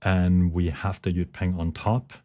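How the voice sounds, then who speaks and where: A man giving a technical presentation, speaking haltingly as he searches for words. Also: low-pitched, flat delivery, dark tone, dull audio. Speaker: conference presenter. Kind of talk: technical talk with live demonstration.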